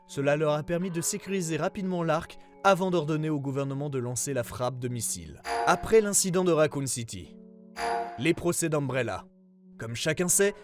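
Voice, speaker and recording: A man playing a government investigator who reads out a formal case report in a serious, measured way, as scripted narration. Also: on the high side, close to an everyday voice.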